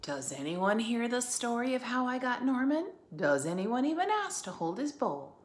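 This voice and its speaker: A woman's voice: a little high, very animated, moderate pace, voice pushed up. Woman reading as a young storybook boy, roomy echo. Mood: earnest. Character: young boy, reluctant owner warming to his goldfish. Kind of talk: storybook read-aloud. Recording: roomy echo, faint background noise.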